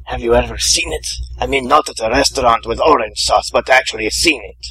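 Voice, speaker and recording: A man's voice: mid-range voice, brisk, bright, forceful tone, poor audio. Male narrator reading a blunt, determined young man, firm and plain-spoken. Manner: blunt and matter-of-fact.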